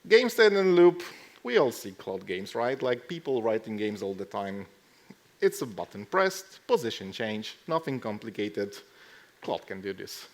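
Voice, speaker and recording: A man speaking casually at a conference as he gives a short tech talk, with dry, deadpan joking asides. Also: fairly low pitch, unhurried. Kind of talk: casual lightning tech talk with live demo. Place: live talk to a conference audience.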